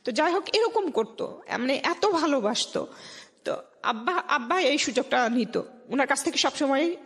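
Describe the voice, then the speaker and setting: A woman's voice: high voice, animated, moderate pace, a bit bright. A woman with a Bangladeshi accent telling a personal story conversationally as a radio guest. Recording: long hall-like reverb.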